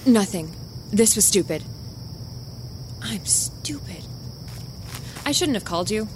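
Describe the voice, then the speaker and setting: An actress playing an anguished, fragile young ex-girlfriend in an audio drama, pleading in a hushed, halting way. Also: medium pitch, lively intonation. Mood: distraught. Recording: noisy, night insect ambience behind.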